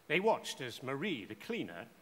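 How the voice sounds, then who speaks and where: A man narrating a scripted short story in a measured, theatrical way. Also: mid-range voice, huge pitch swings, pushed and bright, breathy edge. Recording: echoey hall with faint noise.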